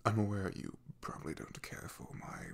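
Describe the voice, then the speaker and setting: A man playing a loyal, shy, soft-spoken knight in an ASMR fantasy roleplay, in a hushed, breathy, gravelly voice with a hesitant, halting manner. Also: on the low side, wildly swooping pitch, heavily acted. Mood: awkward, hesitant.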